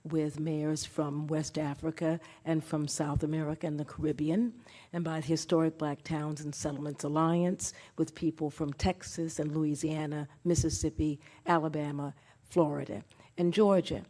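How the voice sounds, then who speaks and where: A woman gives a formal speech, working emphatically through lists of places. Her voice is very low and mellow. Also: flat delivery, moderate pace. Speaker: event speaker.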